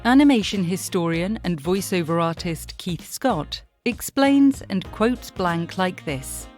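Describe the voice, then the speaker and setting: A woman with a slightly low voice narrates a scripted history documentary. Her delivery is measured and explanatory, and faint music plays behind her. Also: animated, darkish tone.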